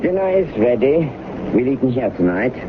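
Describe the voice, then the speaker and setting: An actor playing a grave, formal man in an old radio mystery, with a measured manner. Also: mid-range voice, sing-song, close to an everyday voice. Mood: grave, apologetic. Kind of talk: old radio drama acting. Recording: tinny, very noisy old radio recording.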